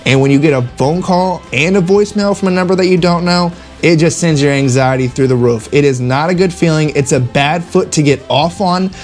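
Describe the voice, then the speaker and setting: A man with a fairly high-pitched voice speaks in a casual vlog style, coaching the listener. His delivery is conversational and emphatic, and he often repeats a phrase to make it stick. Music plays behind him. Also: brisk, few pauses, a little mellow. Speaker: insurance agent giving sales tips. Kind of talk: casual instructional vlog monologue.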